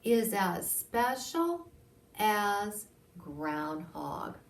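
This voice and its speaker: A woman's voice: medium pitch, very animated, very slow, exaggerated voice. A woman voicing a flustered, dramatic town mayor who makes exclamatory announcements in a storybook reading. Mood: earnest, dramatic. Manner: theatrical, exclamatory. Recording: roomy echo, distant microphone.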